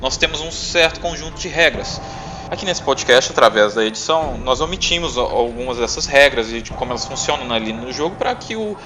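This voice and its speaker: A man's voice: medium pitch, lively intonation, a little fast, background noise. A man with a Brazilian accent, narrating a tabletop roleplaying adventure as game master. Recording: music playing behind the voice. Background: music.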